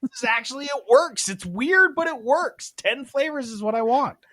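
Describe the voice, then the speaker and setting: A man's voice: very high voice, lively intonation, normal speed, a bit bright, no echo, studio quality. A man hosting a podcast with casual, joking and teasing banter, steering the discussion.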